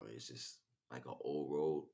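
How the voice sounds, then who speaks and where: A man's voice: rumbling low, steady tone, a little slow, warm tone. A man livestreaming a casual, rambling spiritual monologue in street slang, often checking in with rhetorical questions. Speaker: livestream host.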